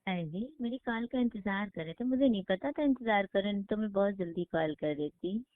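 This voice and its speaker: A woman playing an affectionate divorced lover, coy and gently complaining, in an intimate phone-call conversation. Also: mid-range voice, lively intonation, moderate pace, dry recording, natural voice. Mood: tender, eager. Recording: muffled phone call recording.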